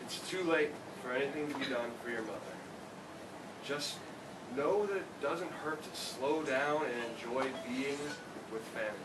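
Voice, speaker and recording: A man's voice: medium pitch, moderate pace, natural voice. A man playing a widowed, reproachful but devoted old father in a staged play reading. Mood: sad, resigned. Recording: distant mic, echoey noisy room.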